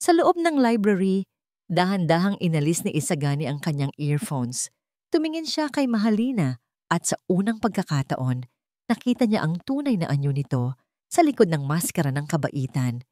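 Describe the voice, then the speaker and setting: A woman's voice: fairly low pitch, very animated, moderate pace, dark tone, no echo, clean studio sound. A woman narrating a romantic melodrama as a scripted, dramatic story.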